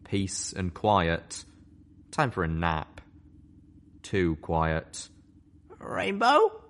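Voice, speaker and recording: A man narrating a fan-fiction audiobook with an expressive, animated reading. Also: low-pitched, extremely slow. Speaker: story reader.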